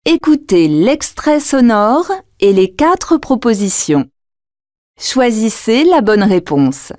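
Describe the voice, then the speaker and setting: A woman narrates a scripted language exam with careful enunciation. Her voice sits fairly high and moves widely in pitch, at a slow pace, as she gives instructions and reads short lines. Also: very animated, darkish tone, dry recording, clean studio sound.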